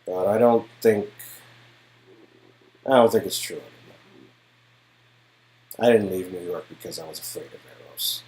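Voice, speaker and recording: A man acting out a guarded adult man from a game, protective and wry, who opens up in halting, earnest confessions. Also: deep voice, darkish tone, lightly acted, rough recording. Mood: remorseful, sincere. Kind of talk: dramatic game dialogue performance.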